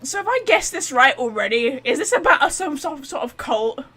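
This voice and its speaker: A woman gives casual playthrough commentary as she streams. Her voice is high and swings widely, with an expressive, sweary, teasing manner. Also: a little fast. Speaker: game streamer.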